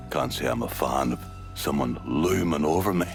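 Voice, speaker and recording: A man voicing a hard-bitten older gang boss in a video game cutscene, with a slow, bitter drawl. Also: very deep voice, animated, vocal fry, music in the background, exaggerated voice. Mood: wry.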